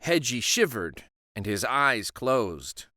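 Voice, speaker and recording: A man hosting a children's read-aloud, narrating a storybook in a slow, expressive and soothing way. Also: mid-range voice, dry recording, clean studio sound.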